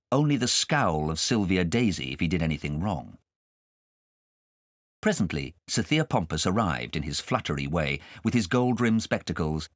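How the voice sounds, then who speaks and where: A man's voice: deep voice, no echo, studio quality. A man reading a children's story as scripted audiobook narration.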